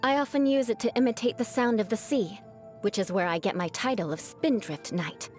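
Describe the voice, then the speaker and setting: A woman's voice: on the high side, brisk, a little mellow, soft background music, lightly acted. A woman voicing a proud young aristocratic knight in a video game, with a haughty, formal, teasing manner and a vengeful streak that hides a caring side. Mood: proud, reflective. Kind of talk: scripted video game character voice lines.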